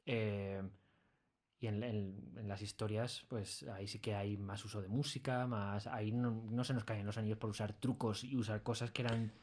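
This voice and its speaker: A man with an accent from Spain and a fairly low voice explains things in an interview. He speaks conversationally and hesitates often, searching for the right words. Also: fairly even intonation, moderate pace, a little mellow. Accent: Spanish from Spain. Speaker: audio series creator being interviewed. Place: recording studio.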